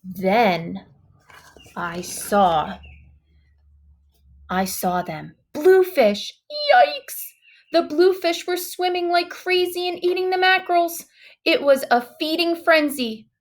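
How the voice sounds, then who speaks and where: A woman reading a children's story aloud in an expressive, dramatic way, leaning on key words and exclamations. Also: very high voice, slow pace. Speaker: storybook reader.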